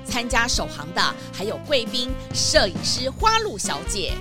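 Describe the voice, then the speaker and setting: A woman's voice: fairly high pitch, huge pitch swings, normal speed, music in the background. A woman narrating a scripted story for children.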